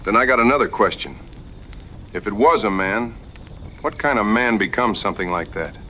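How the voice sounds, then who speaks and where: A man's voice: on the low side, expressive, moderate pace, sharp tone, slight background noise. A man playing a plainspoken, skeptical frontier hunter in an audio drama, earnest and folksy in his backwoods phrasing. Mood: wry, probing.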